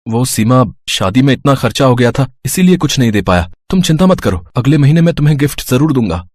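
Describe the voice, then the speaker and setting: A man playing a harried young husband in an animated moral story, earnest and placating. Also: medium pitch, brisk, no echo, studio quality, lightly acted. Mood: placating, pleading.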